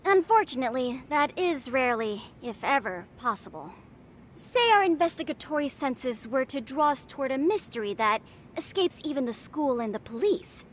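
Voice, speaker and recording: High-pitched, theatrical schoolgirl detective. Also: very animated, normal speed, a little hiss or hum. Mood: pompous, arch. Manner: pompous, precise, dramatic phrasing. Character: theatrical, self-important teenage schoolgirl, detective club leader. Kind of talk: scripted video game dub acting. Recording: music under the dialogue. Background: music.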